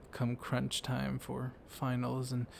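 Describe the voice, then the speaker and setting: A man playing a gently dominant, comforting vampire librarian in an intimate ASMR roleplay, speaking softly and haltingly, often slipping into a whisper. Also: mid-range voice, completely flat delivery. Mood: wistful, gentle. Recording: clear storm noise behind the voice. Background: thunderstorm ambience.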